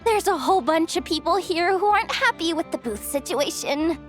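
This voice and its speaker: A woman's voice: very high voice, expressive, normal speed. An actress playing a brash, scheming young female expo manager in a video game, with a slangy, dramatic way of talking. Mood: sheepish, exasperated. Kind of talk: animated video game voice acting. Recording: music under the voice.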